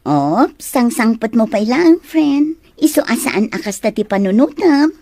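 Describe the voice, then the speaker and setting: A woman's voice: fairly high pitch, sing-song, a little slow, darkish tone, no room sound, heavily acted. A woman playing a caring, supportive young best friend in a melodrama, speaking in a soothing, encouraging way. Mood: comforting. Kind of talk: Ilocano melodrama acting.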